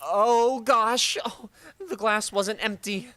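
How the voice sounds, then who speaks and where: A man's voice: high voice, fairly even intonation, slow, sharp tone, no echo, full character voice. A man playing an eager, hapless teenage boy in a 1940s-style radio comedy, gabbing earnestly. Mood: excitable, sheepish. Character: eager, hapless teenage boy schoolmate, showing off party tricks.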